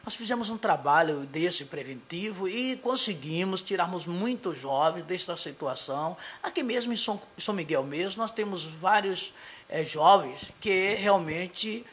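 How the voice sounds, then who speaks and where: A middle-aged man, a pastor from Brazil, giving a halting local TV interview full of fillers and restarts, speaking into a handheld mic in a small studio. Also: sits high, expressive, a bit bright, slightly rough. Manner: hesitant, many fillers and restarts, tag questions. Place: small studio in front of a logo backdrop.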